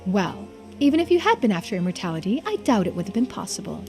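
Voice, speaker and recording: Cryptic, matter-of-fact lore keeper for a game, female performer; composed, deliberate, faint music. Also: medium pitch, sing-song, a little mellow. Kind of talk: video game character voicing.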